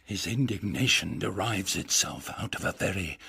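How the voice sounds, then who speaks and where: An actor playing a boisterous, scheming knight in a Shakespearean radio comedy, pompous and theatrical, savouring grand words with a husky voice. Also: male voice, low voice, normal speed, pushed and bright, a little hiss or hum, full character voice. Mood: mock menace. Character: boisterous, scheming knight and uncle, relishing mischief.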